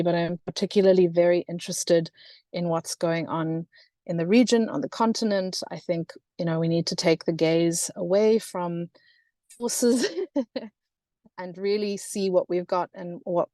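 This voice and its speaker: A woman's voice: fairly low pitch, dark tone, dry recording. A South African woman in her thirties presenting in a webinar, talking with many fillers and restarts. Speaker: webinar guest speaker.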